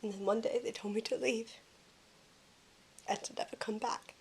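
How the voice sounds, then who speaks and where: A woman's voice: a little high, fairly even intonation, moderate pace, a little mellow, slight background noise, lightly acted. A woman playing an emotional, wounded young woman in an audio drama, earnest and dramatic in delivery. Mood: hurt.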